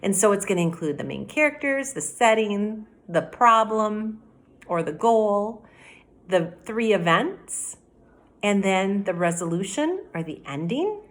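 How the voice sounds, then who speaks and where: A middle-aged woman, a teacher giving a read-aloud mini lesson, explaining things directly to children in an animated way. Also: medium pitch, unhurried. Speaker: elementary teacher. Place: indoor room at home by a window.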